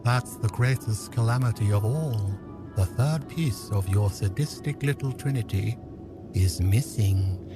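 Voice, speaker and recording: An actor playing a grave, smug, scheming villain in an audio drama, measured and portentous in manner. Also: male voice, on the low side, over music. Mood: cold, gloating. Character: scheming male manipulator, grave, smug, menacing.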